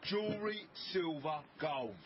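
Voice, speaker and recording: An actor playing a greedy, blunt highwayman in a radio drama, menacing but easily rattled. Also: expressive, moderate pace, lo-fi sound, a crowd in the background, close to an everyday voice.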